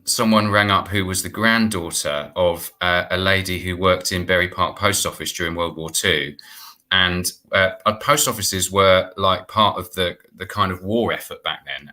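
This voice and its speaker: A man's voice: sits low, normal speed, bright, forceful tone. A middle-aged British man explaining things earnestly and conversationally as a guest on a video call. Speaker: guest, theatre producer. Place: indoor room, video call.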